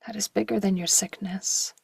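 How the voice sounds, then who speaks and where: Husky, breathy woman guiding meditation. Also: medium pitch, fairly even intonation, dry recording. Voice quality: soft, breathy, at times near a whisper. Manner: slow, gentle, with long pauses and short phrases. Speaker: meditation guide. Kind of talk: guided meditation.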